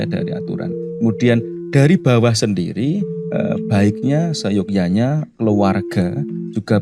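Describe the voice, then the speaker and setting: A man with a Javanese Indonesian accent speaking as a radio guest. He explains his points slowly and thoughtfully, with many hesitant filler pauses. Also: lowish voice, gravelly. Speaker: guest speaker on language and culture. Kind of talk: casual interview chat. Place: radio studio.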